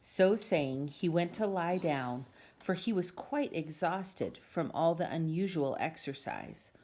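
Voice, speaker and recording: A woman's voice: low-pitched, animated, slow pace, dark tone. A woman reading a children's storybook aloud as narrator, in an amateur home recording. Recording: clear background noise, amateur home video.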